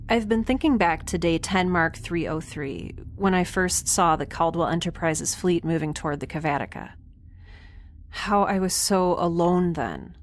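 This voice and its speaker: A woman's voice: on the low side, slight background noise. A woman playing an anxious, wry young space castaway recording an audio drama log, confiding and hesitant as she corrects herself. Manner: hesitant, self-correcting, rambling, dryly wry. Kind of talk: audio drama monologue, confiding diary narration.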